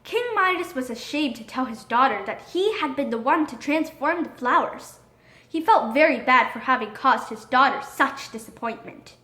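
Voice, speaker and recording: A woman narrating a scripted children's storybook in an expressive, dramatic read-aloud manner. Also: sits high, normal speed. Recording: roomy echo.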